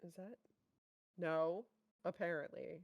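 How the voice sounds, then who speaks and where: A woman co-hosting a reaction stream in casual banter, expressive and self-deprecating in how she talks. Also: fairly low pitch, slow pace, gravelly, lo-fi sound.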